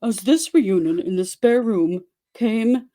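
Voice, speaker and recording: A woman giving a theatrical dramatic reading of a story, with exaggerated delivery and dramatic pauses. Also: mid-range voice, mellow. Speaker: dramatic reader.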